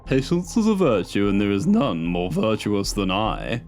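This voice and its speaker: A man's voice: fairly low pitch, huge pitch swings, moderate pace, darkish tone, soft background music. A man voicing a geeky, jaunty male tech expert with a playful, mock-grand manner and an odd put-on accent, in an audiobook.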